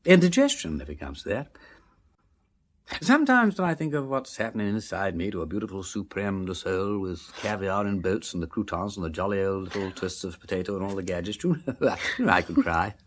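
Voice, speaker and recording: An actor playing an urbane, flippant but kindly gentleman detective in a radio drama, with an upper-class English accent and breezy, drawling old-fashioned slang. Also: low voice, very animated, brisk, slight background noise. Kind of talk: full-cast radio drama acting.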